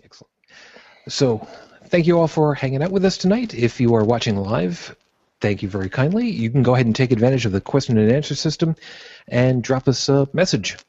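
A man hosts an online panel, chatty and easygoing. His voice sits at an ordinary pitch. The sound is dry and slightly muffled, like a lo-fi call mic. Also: fairly quick, breathy edge, dry recording. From born in Brooklyn, raised on Long Island. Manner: relaxed, chatty, introduces guests one by one. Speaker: host of a panel vlogcast. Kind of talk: casual panel hosting.

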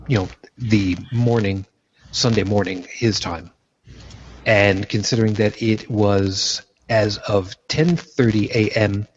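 A man's voice: fairly low pitch, a little hiss or hum. A man from New York hosting a casual roundtable, talking bluntly and swearing freely over a video call. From New York, United States. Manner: blunt and profane. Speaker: podcast host. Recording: dull lo-fi sound.

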